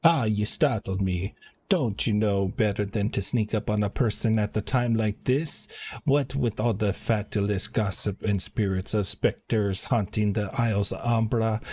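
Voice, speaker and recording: A man voicing a fussy, self-important scholar from a fantasy game, reading the wordy dialogue a little haltingly. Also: on the low side, a little mellow. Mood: startled, flustered. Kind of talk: game quest dialogue read aloud.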